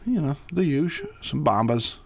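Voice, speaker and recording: A man's voice: a little high, moderate pace, creaky, breathy edge. A man in his late thirties co-hosting a podcast, joking dryly and mocking himself. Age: 30s. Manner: dry, self-mocking humor, lively intonation. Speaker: podcast co-host. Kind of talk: casual couple chat.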